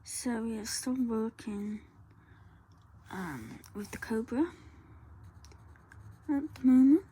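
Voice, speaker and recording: A woman, talking softly through a colour-along tutorial and often dropping to a whisper. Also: on the high side, animated, slow, breathy edge. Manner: soft, rambling, often drops to a whisper. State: croaky voice.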